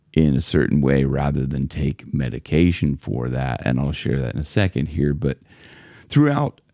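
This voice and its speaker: Very deep, gravelly-voiced man. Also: dark tone, dry recording. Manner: rambling, conversational, many restarts. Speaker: vocal coach. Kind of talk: casual talk to camera.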